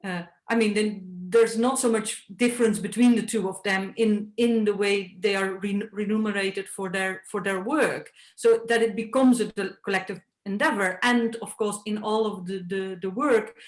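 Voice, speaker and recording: A woman speaks in a mid-pitched, thoughtful voice, circling her ideas with frequent fillers. The sound carries a noticeable room echo, as at a panel talk. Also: fairly even intonation, moderate pace, few pauses. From Netherlands. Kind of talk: panel discussion.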